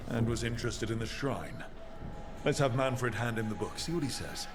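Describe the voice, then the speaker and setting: A man's voice: mid-range voice, moderate pace, natural voice. An actor playing a plainspoken, practical male adventurer in a fantasy video game, in a matter-of-fact manner. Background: game music.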